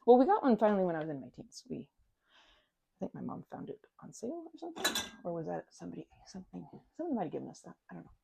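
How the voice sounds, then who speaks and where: A woman chatting casually to the listener as a best friend in an ASMR roleplay, bubbly and expressive, rambling and changing course mid-thought. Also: fairly low pitch, very fast, gravelly, very noisy.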